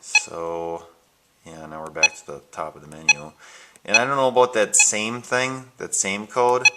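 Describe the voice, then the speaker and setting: A man's voice: on the low side, a little slow. An American man giving a casual, unscripted home show-and-tell of his gadgets, thinking aloud in a hesitant way. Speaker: amateur video maker showing his gadgets. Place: indoors at home and in a workshop. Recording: noisy amateur home video with handling clicks.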